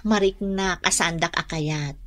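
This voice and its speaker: A woman playing an insecure young wife eager to please in a comedy radio drama, gentle and plaintive. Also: lowish voice, unhurried. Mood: hopeful.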